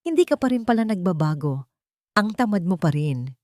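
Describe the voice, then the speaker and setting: A woman's voice: on the low side, big pitch swings, normal speed, dark tone, no room sound. A bitter, wealthy older matron from a melodramatic audio story, voiced by a woman narrator. She scolds and belittles with haughty complaint. The sound is close and dry, with no room around it. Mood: bitter, reproachful. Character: scornful, nagging older mother-in-law, wealthy matron.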